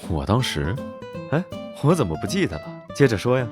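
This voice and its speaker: An actor playing a suave, teasing young gentleman investigator in an animated drama, smooth-talking and nonchalant. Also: mid-range voice, a little fast, over music, close to an everyday voice. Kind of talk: Chinese animated drama dubbing.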